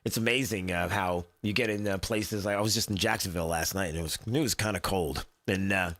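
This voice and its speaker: A man hosting a radio talk show, under the weather with a deeper voice than usual, chatting casually and rambling with lots of filler words. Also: steady tone, brisk, fairly bright tone. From Southwest Florida.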